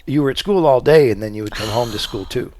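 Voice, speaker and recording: A man co-hosting a casual, supportive conversation, speaking haltingly as he restates and affirms what he hears. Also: medium pitch, a little mellow. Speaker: podcast co-host.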